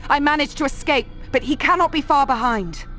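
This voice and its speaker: A woman's voice: sits high, fairly quick, a bit bright, soft background music. An actress playing a proud, fiery young Templar woman in a video game, urgent and indignant. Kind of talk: video game cutscene acting.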